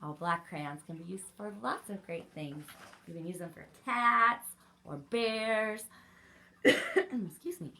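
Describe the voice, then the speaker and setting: A woman's voice: on the low side, takes it slowly, pauses often, sharp tone. A woman leading an interactive children's story time, asking questions and encouraging young listeners as she reads. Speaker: library story time reader.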